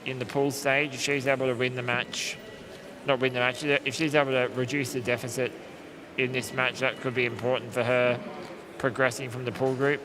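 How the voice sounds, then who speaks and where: A man giving live sports commentary, calm and explanatory, often restarting his sentences as he thinks aloud. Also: medium pitch, normal speed. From Australia. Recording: live stream with steady background noise.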